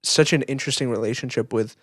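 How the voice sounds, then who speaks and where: A man's voice: mid-range voice, fast talker, a bit bright, slightly rough, dry recording. Man chatting casually, dry close sound. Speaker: podcast co-host. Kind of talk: casual podcast chat.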